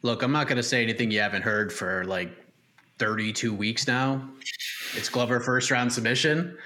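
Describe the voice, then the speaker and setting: Male sports show host, bright forceful voice, medium pitch, emphatic and conversational. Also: normal speed. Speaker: host of an MMA preview show. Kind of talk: casual sports talk, punchy fight analysis.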